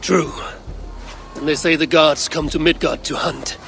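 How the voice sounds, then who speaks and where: Stern level-headed viking chief, creaky voice, dry and measured, game cutscene with faint music. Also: male voice, a little high, steady tone, fairly bright tone. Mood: grave, measured. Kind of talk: dramatic video game acting.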